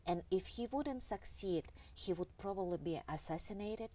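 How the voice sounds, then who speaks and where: A middle-aged woman from Russia, an author telling family stories in an interview in a halting, earnest way with many pauses. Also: medium pitch, expressive, warm tone. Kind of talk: reflective storytelling in an interview. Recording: distant mic with faint background noise.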